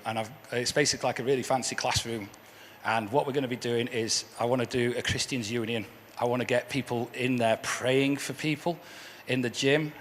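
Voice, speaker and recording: A man with a hoarse, croaky voice gives an earnest church testimony as a guest speaker, often repeating words as he restarts. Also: medium pitch, fairly bright tone, slight background noise. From England. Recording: echoey hall with faint ambience.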